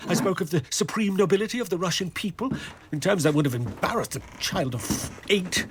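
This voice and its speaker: An actor playing a fretful, self-pitying middle-aged intellectual in a radio drama, wordy and melodramatic. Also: male voice, high voice, background noise. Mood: distraught. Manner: agitated, wordy, melodramatic, halting.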